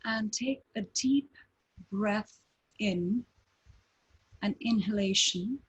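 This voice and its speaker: A woman guiding a breathing meditation, speaking calmly with long pauses between short phrases. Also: mid-range voice, husky, poor audio. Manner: slow, calm, with long pauses. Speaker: meditation teacher.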